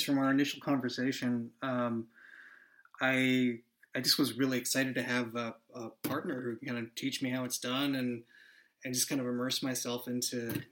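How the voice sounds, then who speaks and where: A man's voice: mid-range voice, fairly bright tone, a little hiss or hum, poor audio. A man, one of the show's creators, speaking earnestly and thoughtfully in a casual chat.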